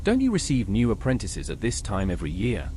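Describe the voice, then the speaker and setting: A man's voice: fairly low pitch, huge pitch swings, fairly quick, noisy background. A man voicing an inquisitive adventurer in video game quest dialogue, speaking in short, direct questions. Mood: inquiring.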